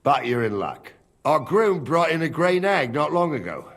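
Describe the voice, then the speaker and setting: Husky, gruff old guard captain. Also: male voice, fairly high pitch, very animated, normal speed, a bit bright, exaggerated voice. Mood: gruff, dry. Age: older. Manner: blunt, teasing, colloquial. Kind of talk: video game dialogue acting.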